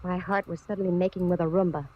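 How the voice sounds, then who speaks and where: An actress playing a breezy, self-assured, hard-boiled private detective in 1940s radio style. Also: female voice, lowish voice, steady tone, fast talker, close to an everyday voice. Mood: wry narrating. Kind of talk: 1940s radio detective acting, narration and dialogue. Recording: muffled, noisy old radio recording.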